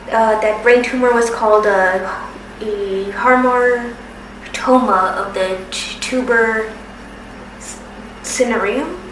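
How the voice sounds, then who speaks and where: A woman in her thirties who stutters, speaking slowly with pauses and restarts in a personal testimony. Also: fairly high pitch, fairly even intonation, fairly bright tone. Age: 30s. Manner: stutters, with repeated sounds and restarts; slow, careful, with pauses. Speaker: documentary submitter. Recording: roomy, distant from the mic, noisy background.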